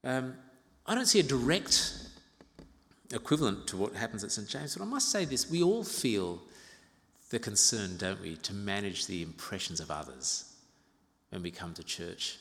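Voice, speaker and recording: A man in his fifties from Uganda preaches in a large church with long, ringing reverb. His voice sits on the low side, and he explains scripture in a measured, reflective manner, pausing and slipping in gentle asides. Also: animated. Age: 50s. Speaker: pastor preaching to own congregation. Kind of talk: expository sermon. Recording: reverberant hall.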